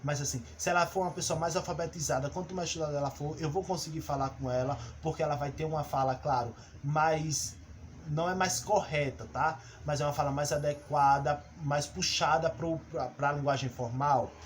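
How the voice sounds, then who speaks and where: A male teacher the explains his lesson step by step. He pauses on fillers and restarts as he goes. His voice sits a little high, with faint noise behind it. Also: fairly even intonation. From Brazil. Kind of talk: recorded class lesson.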